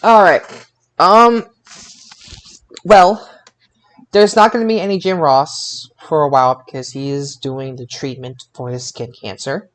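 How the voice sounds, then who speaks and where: A man co-hosting a casual wrestling podcast, excitable and stammering, often repeating his phrases. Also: on the high side, a little slow, long pauses. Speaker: podcast co-host.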